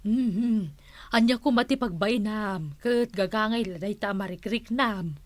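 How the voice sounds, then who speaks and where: A woman's voice: mid-range voice, measured pace, dry recording, lightly acted. A woman playing a playful, theatrical auntie in a comic radio drama. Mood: teasing, lovestruck.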